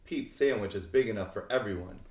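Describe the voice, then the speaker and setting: A man reading a children's picture book aloud expressively, with deliberate phrasing and dramatic emphasis. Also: fairly low pitch, darkish tone. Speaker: read-aloud host. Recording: roomy, echoing sound.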